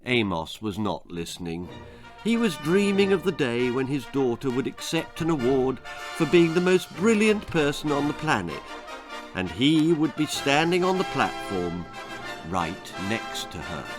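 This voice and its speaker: A man reading a darkly comic children's story in a theatrical, deliberate way, as its author and storyteller. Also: medium pitch, big pitch swings, music in the background.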